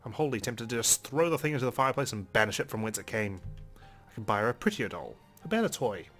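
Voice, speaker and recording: A man's voice: medium pitch, big pitch swings, fast, a bit bright. A man hosting a let's play, reading a visual novel aloud as narration with casual commentary of his own. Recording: faint game music behind. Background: game music.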